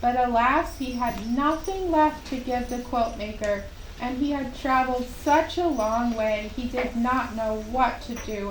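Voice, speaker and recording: A woman telling a scripted children's story in a gentle, deliberate way, as at a puppet show. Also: on the high side. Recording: roomy, distant microphone, faint background noise.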